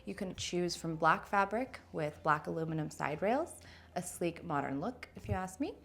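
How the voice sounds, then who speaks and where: A woman in her thirties with a fairly low voice and plenty of vocal fry. She presents a product review to camera, talking conversationally and leaning on key words for emphasis. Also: animated, normal speed, fairly bright tone. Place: indoor showroom with wood-slat wall.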